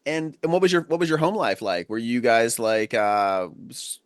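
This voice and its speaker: A man's voice: medium pitch, moderate pace, no room sound, clean studio sound. A man from Long Island hosting a podcast, reflective in his intro and hesitant, often stammering, in casual questions. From Long Island, New York. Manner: reflective, hesitant, stammers when talking about himself. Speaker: podcast host. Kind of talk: scripted intro and casual interview.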